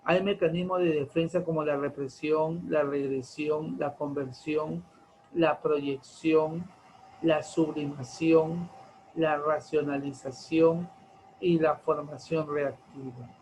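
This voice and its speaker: A man, lecturing teenagers in class, slow and deliberate, stretching key terms and asking many rhetorical questions. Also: fairly high pitch, steady tone, a little mellow, slight background noise, poor audio. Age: 40s. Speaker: teacher.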